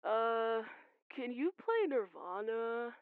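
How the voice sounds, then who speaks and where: Woman playing a high-voiced slangy stoner teenage boy caller. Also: slow pace, clean tone, no room sound, narrow, tinny audio. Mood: goofy, amused. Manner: loose, slangy, excitable. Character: goofy stoned teenage boy calling a radio show. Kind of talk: audio drama acting.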